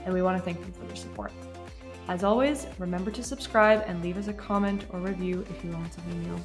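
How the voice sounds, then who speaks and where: A woman's voice: on the low side, fast talker, over music. Upbeat woman podcast host. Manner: upbeat, enthusiastic. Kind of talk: scripted podcast intro, then interviewing.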